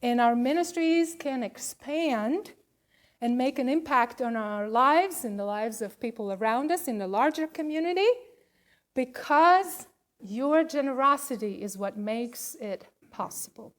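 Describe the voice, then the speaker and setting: A woman minister addressing a congregation in a church service, reading and speaking in measured, deliberate phrases. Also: high-pitched, expressive. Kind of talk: worship address, part spoken, part read aloud.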